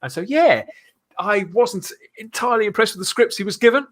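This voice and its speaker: A man with a slightly high-pitched voice hosts a live fan podcast. He speaks earnestly and with emphasis, shaping his phrases deliberately as he makes his case. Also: lively intonation, fairly bright tone. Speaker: podcast host.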